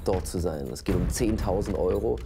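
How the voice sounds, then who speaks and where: A man's voice: low-pitched, moderate pace, creaky. A man hosting a reality show, announcing the coaches in an upbeat way. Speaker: host. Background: music.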